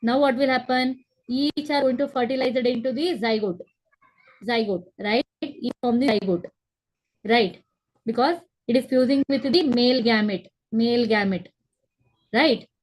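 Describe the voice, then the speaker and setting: A woman's voice: fairly high pitch, moderate pace, low-quality recording. A young woman teaching a biology class online over a video call, explaining step by step, repeating key terms and checking that the students follow. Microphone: laptop webcam on a video call.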